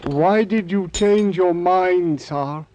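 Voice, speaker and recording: A man's voice: high-pitched, slow pace, background noise. A man playing a proud, watchful servant-mechanic in an old-time radio drama, speaking formally and deferentially. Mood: quietly challenging. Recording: dry, dull vintage radio sound.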